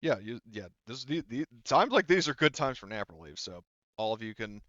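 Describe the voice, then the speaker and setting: A man working as game master, narrating a tabletop game in a casual, halting, conversational way. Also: medium pitch, big pitch swings, fairly bright tone, no echo. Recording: slightly dull close dry mic.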